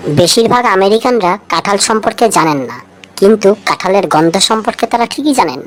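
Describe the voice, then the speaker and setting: A woman's voice: mid-range voice, animated, fairly quick, faint music behind, low-quality recording. A woman reading a scripted, light trivia feature, addressing the listener directly and posing rhetorical questions. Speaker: co-narrator of a feature video.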